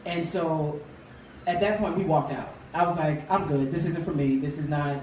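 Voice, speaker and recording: A man with a high voice, a guest singer talking casually in a radio interview. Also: normal speed. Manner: relaxed, chatty, laughs easily. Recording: roomy, distant mic, faint noise.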